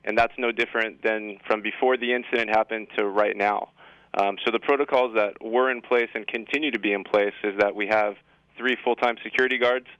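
A man's voice: on the low side, steady tone, a little fast, fairly bright tone, smooth, dry recording, lo-fi sound. A man speaking as a school administrator guest in an interview, explaining things in an assured way. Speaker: high school assistant principal guest. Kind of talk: interview answers.

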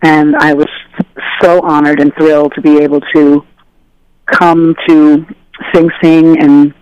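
A woman telling stories as an interview guest, animated and conversational, acting out the voices of people she quotes. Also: low-pitched, slow, clear, resonant tone, dry recording, highs cut off. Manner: animated, acts out dialogue in voices. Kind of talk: casual conversational storytelling.

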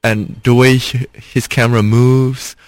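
A man's voice: mid-range voice, level tone, measured pace, no echo. A young man with a mixed Singaporean and Indonesian accent, talking as an interview guest, hesitant with many fillers and restarts. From Southeast Asia. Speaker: guest, actor and filmmaker. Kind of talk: casual interview conversation.

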